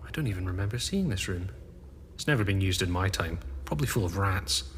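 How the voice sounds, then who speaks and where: A man's voice: low-pitched, expressive, fairly quick. An actor voicing an eager, impatient fourteen-year-old boy in a period audio drama. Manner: impatient, teasing, adventurous. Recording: long hall reverb with faint hiss.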